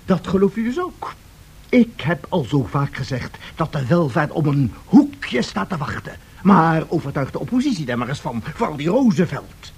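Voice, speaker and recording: Husky tearful president, swingy high-ish voice, theatrical radio play lament. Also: male voice, sing-song, normal speed, exaggerated voice. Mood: exasperated, despairing. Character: despairing, tearful American president, anxious about his image. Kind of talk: Dutch radio drama acting.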